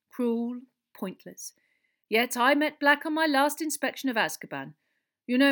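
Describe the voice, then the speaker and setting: A pompous, middle-aged man of government played by a woman narrator in a storybook. He speaks gravely and officiously in a measured, fairly high voice.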